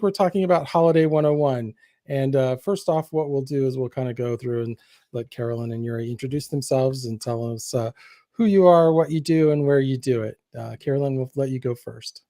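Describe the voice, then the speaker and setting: A relaxed man hosts a live podcast from a video call. His voice has a mellow, darker tone and sounds dry and close. He talks loosely, with plenty of fillers. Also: mid-range voice, dry recording. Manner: relaxed, with many fillers and chuckles. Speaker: podcast host.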